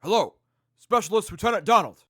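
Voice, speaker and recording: Man as anxious junior soldier, high voice, lively pitch, flustered, deferential, audio drama. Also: brisk, no room sound, studio quality, heavily acted. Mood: nervous. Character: nervous, eager-to-please subordinate male soldier.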